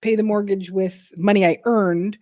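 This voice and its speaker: A chatty middle-aged woman hosting a casual live chat, laughing easily and often clearing her throat. Her voice sits at a medium pitch. It is recorded close and dry, with little room sound. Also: fairly even intonation, moderate pace, warm tone, no room sound. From Canada. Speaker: host.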